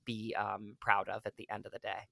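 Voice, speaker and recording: A man with a low voice speaks as a guest on an interview show, recorded close and dry. He talks reflectively, often stalling and restarting mid-phrase. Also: flat delivery, vocal fry, sharp tone, dry recording. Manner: halting, searching for words. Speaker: actor guest. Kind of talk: casual interview.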